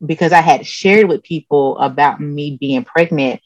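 A woman therapist with a low-register, soothing voice, answering interview questions calmly and openly. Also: moderate pace, dry recording. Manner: calm, open, laughs often. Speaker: therapist guest. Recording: dull lo-fi dry sound.

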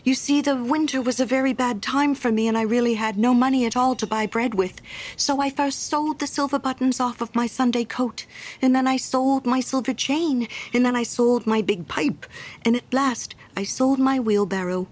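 A woman's voice: on the high side, fairly even intonation, normal speed, a little mellow, voice pushed up, close to an everyday voice. A woman voicing a humble, cheerful poor gardener who speaks eagerly and gratefully.

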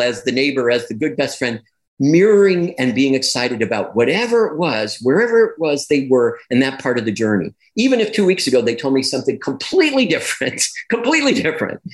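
Male interview guest of middle pitch, reflective and conversational, turning animated and expressive as his argument builds. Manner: reflective, with repeated phrases and restarts. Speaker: guest, clinician and educator on gender.

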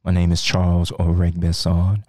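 A man in a podcast studio interview who talks haltingly, with many ums and restarts. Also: sits low, monotone, a little mellow, no room sound. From Nigeria, raised in Texas. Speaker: guest, crypto trader.

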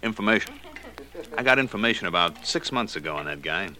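An actor playing a cocky, evasive loan shark with streetwise slang in 1940s radio detective style. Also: male voice, lowish voice, expressive, fast talker, bright, forceful tone. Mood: cagey, cocky. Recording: old radio recording with faint noise.

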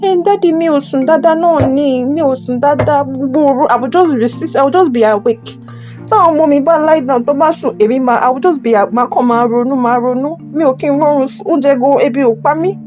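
High-voiced Nigerian young mother, acted by a woman. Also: fast, a little mellow, highs cut off, soft background music, natural voice. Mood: troubled. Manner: deferential, repetitive, pleading. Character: distressed, grateful young mother, pleading daughter. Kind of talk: audio drama acting.

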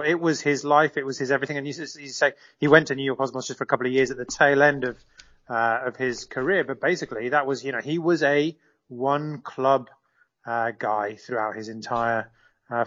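A man's voice: medium pitch, a bit bright. Man reporting over a dull remote line. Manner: explanatory, with many fillers and restarts. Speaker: correspondent. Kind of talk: live radio interview.

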